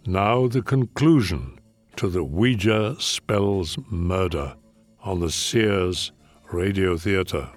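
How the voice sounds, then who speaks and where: A male radio announcer reading slowly and formally. His voice is very deep and unhurried, with faint music beneath.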